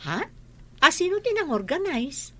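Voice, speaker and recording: Woman acting a wistful mother, sharp bright voice. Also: high-pitched, big pitch swings, moderate pace, exaggerated voice. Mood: hesitant. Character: wistful, proud mother who never finished school. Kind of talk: Ilocano audio drama acting.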